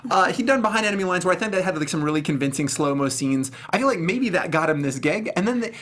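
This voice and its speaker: A man's voice: high-pitched, lively intonation, fast, a bit bright. Slangy, emphatic man chatting about films. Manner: slangy, emphatic, incredulous joking. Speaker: film review show host. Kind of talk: casual panel film discussion.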